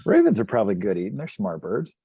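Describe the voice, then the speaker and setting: A man's voice: lowish voice, huge pitch swings, fast, gravelly, dark tone, breathy edge, dry recording. A man playing a tabletop roleplaying game, chatting casually and asking questions as a player.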